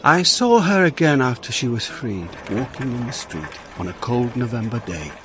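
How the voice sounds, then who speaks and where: An actor playing a blunt, worldly police inspector in a radio drama, brusque, commanding and wry. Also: male voice, mid-range voice, very animated, moderate pace, fairly bright tone, a little hiss or hum. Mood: dry, reflective. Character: blunt, worldly Dutch police inspector, narrating his case. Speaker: actor and narrator.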